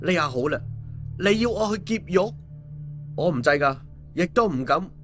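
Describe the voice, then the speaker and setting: A male storyteller plays an excitable, cowardly man who complains and wheedles in speech. The voice rides high, in audiobook dialogue over faint music. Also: moderate pace. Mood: indignant protesting.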